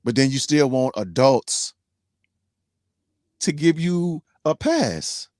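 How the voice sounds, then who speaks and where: A man's voice: medium pitch, animated, takes it slowly, darkish tone, no echo, clean studio sound. A man in his forties, a team trucker and co-host, talking bluntly and preachily in casual slang. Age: 40s. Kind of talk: casual podcast chat.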